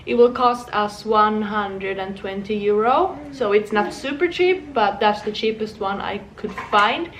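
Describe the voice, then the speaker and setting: A woman's voice: medium pitch, unhurried, few pauses, fairly bright tone, far from the mic, slight background noise. A young woman from Finland, chatting casually to her vlog viewers at home, rambling with small restarts and laughs. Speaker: vlogger. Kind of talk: casual chat. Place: home room. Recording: echoey room, voice from off-mic.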